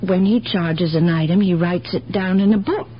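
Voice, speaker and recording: An actress playing a kindly, scatterbrained elderly aunt in a radio drama, chatty and a little hesitant. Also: female voice, on the low side, normal speed, close to an everyday voice. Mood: thoughtful, recalling. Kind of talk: 1970s radio drama acting. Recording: dull, faintly noisy 1970s radio recording.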